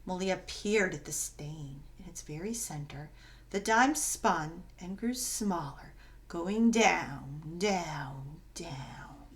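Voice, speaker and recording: A woman reading a children's story aloud as narrator, in an expressive, dramatic and emphatic way. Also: on the low side, slow. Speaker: story reader.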